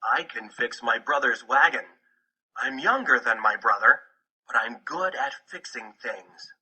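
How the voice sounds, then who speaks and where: A man's voice: mid-range voice, animated, a little slow, bright, forceful tone, slightly rough, rough recording. A man reading a children's picture book aloud as scripted narration.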